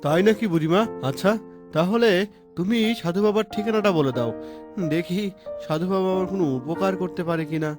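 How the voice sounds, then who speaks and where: A man's voice: high voice, a little fast, close to an everyday voice. A man voicing an earnest, humble village husband in a cartoon, speaking politely and pleadingly. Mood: respectful, hopeful. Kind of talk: cartoon voice acting. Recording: music behind the voice.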